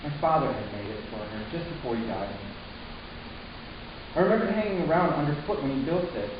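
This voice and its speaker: A man playing a troubled, resentful human youth in a dramatic first-person story reading, halting and intense with deliberate pauses. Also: medium pitch, animated, natural voice. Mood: brooding, mournful. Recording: distant, very echoey room, muffled, hissy.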